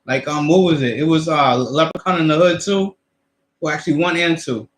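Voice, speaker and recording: A man's voice: a little high, steady tone, moderate pace, echoey room. A young man in his twenties, co-hosting a casual chat, rambling with many stammered restarts. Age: 20s. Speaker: co-host.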